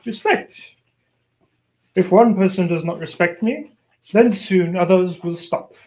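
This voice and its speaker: A man's voice: high voice, takes it slowly, a little mellow. An actor playing a vain, resentful Persian nobleman in an amateur radio play. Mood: indignant, proud. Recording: faintly noisy amateur recording.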